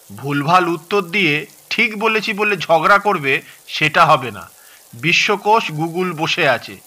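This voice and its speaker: Brisk young son, higher bright voice. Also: male voice, full character voice. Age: young adult. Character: brisk grown son acting as bossy referee. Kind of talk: Bengali audio drama dialogue.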